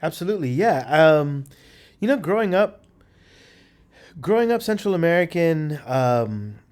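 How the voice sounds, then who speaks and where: A man who grew up in San Francisco's Mission District, an artist answering interview questions in an earnest, halting way. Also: medium pitch, a little mellow. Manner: earnest, hesitant, many fillers and restarts.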